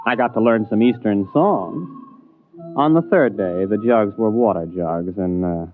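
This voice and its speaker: A man's voice: lowish voice, unhurried, close to an everyday voice. An actor playing a brave, wry space captain who tells his story in a 1950s radio drama. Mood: wry, nostalgic. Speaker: actor, lead and narrator. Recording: tinny 1950s radio broadcast, music.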